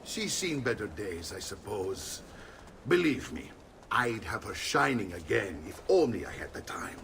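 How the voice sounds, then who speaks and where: An actor playing a jovial, blustering middle-aged Italian uncle in a video game, with an Italian accent and a hearty, theatrical manner. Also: male voice, fairly low pitch, very animated, a little slow, creaky, a bit bright, a little hiss or hum, exaggerated voice. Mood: genial. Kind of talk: video game cutscene acting.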